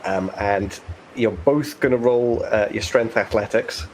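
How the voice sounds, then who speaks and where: A man as game master, explaining rules and narrating conversationally, pausing to think aloud. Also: on the low side, fairly even intonation, a little hiss or hum, low-quality recording.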